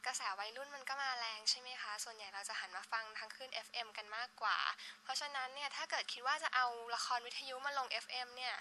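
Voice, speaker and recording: A woman's voice: on the high side, fairly even intonation, fast, hardly any pauses, bright, forceful tone. A young woman giving a casual interview into a handheld microphone in an indoor studio room. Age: young adult. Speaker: radio drama voice performer being interviewed. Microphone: handheld reporter microphone. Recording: slightly noisy studio room.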